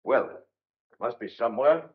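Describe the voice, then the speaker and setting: A man's voice: medium pitch, normal speed, slightly rough, natural voice. An actor playing an opinionated, teasing older country father in an old-fashioned audio drama. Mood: genial, grumbling. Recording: dull, muffled lo-fi audio.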